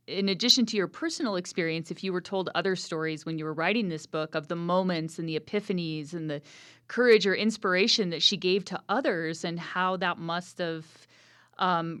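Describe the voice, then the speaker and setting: A woman interviewing a guest on public radio, asking long, searching questions with many pauses and fillers. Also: fairly low pitch, fairly bright tone, clear, resonant tone, no echo, studio quality.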